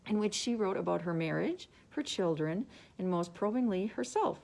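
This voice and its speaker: A woman's voice: medium pitch, expressive, normal speed, creaky, darkish tone. Woman librarian reading book summaries. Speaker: librarian presenting book picks. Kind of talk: scripted book summaries read aloud.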